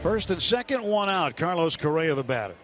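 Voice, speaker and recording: A man's voice: a little high, sing-song, brisk, loud music behind the voice. A man calling a baseball game live on the radio as the play-by-play announcer. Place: stadium broadcast booth.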